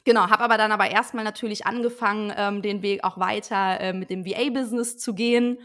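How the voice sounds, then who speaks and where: A woman's voice: mid-range voice, steady tone, fairly quick, a bit bright. A woman in her late twenties, a guest on a podcast, explaining her business in a chatty way with frequent fillers. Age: 20s. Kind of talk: casual interview chat.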